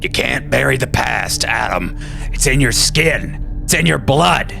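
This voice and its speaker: Menacing ghostly stepfather played by a man, very gravelly, swooping delivery, music underneath. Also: mid-range voice, expressive, voice pushed up. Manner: slow, deliberate, menacing. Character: sinister scarred stepfather apparition, taunting and menacing.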